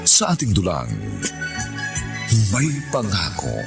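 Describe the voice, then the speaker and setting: Slow, gravelly male drama announcer. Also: low-pitched, huge pitch swings. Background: loud music.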